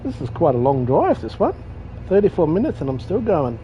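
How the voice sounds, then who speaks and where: A man's voice: a little high, big pitch swings, brisk. A man chatting casually while playing a train driving game, rambling and joking at himself. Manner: rambling, self-deprecating, mild swearing. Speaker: gaming streamer. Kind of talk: casual gameplay commentary. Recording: dull lo-fi audio with faint game noise.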